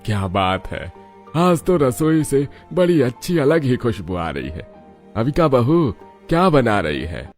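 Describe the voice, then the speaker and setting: Give this to a man playing an appreciative older head of a household in a cartoon family drama. His voice is medium in pitch, and music runs softly behind the lines. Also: very animated, unhurried. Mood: curious. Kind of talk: acted family story dialogue.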